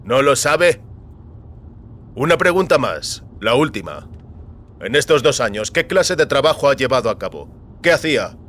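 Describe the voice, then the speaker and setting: A man's voice: mid-range voice, sing-song, normal speed, long pauses, fairly bright tone, slight background noise, voice pushed up. Man playing a stern, insistent interrogating officer. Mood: stern, probing. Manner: pressing, clipped questioning. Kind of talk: audio fiction acting.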